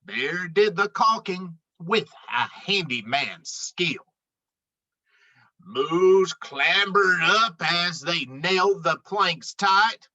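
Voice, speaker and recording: Woman doing kids read aloud, super bright crisp delivery, really expressive, takes her time. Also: lowish voice, dull audio. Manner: dramatic, deliberate storytelling. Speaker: children's book reader.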